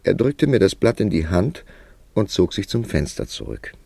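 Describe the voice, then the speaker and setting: A man playing a world-weary, ironic writer who narrates his own tale in a measured, wry, literary German radio drama style. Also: deep voice, wildly swooping pitch, vocal fry, warm tone, husky, no echo, exaggerated voice. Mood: wry, reflective.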